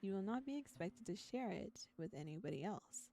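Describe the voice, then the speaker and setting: A woman with a soft, mellow voice streams a game over clear background music. She sits at a middling pitch and chats casually between stretches of reading aloud, with a giggly, easygoing delivery. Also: animated, normal speed. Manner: giggly, chatty. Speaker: streamer playing a visual novel.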